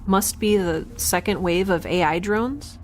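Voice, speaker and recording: A woman's voice: mid-range voice, soft background music, close to an everyday voice. Actress as rambling nerdy young woman scientist. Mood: urgent, exasperated. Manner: rambling, halting, talking to herself. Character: chatty nerdy young woman scientist, quirky, stubborn, caring. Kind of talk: audio drama monologue and dialogue.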